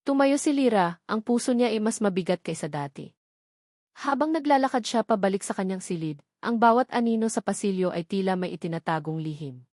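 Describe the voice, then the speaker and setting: A Filipino woman reads a scripted love story as a dramatic narrator. Her pitch sits in the middle for a woman, and she paces phrases with deliberate pauses. The recording is very dry, with no room sound. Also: expressive, darkish tone, no room sound.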